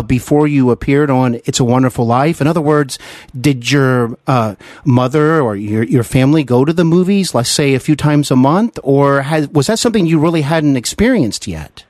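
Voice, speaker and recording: A man's voice: a little high, a little mellow, dry recording, clean studio sound. An American man hosting a conversational interview, effusive and somewhat hesitant in his phrasing. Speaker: interview host.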